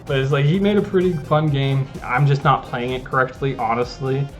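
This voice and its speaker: An easygoing man chats his way through a gameplay recording, circling back and restarting his thoughts as he goes. His voice sits at an ordinary middle pitch, and soft game music plays faintly underneath him. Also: fairly even intonation, moderate pace. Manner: rambling, self-interrupting, easygoing. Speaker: gaming channel host. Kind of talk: casual gameplay commentary.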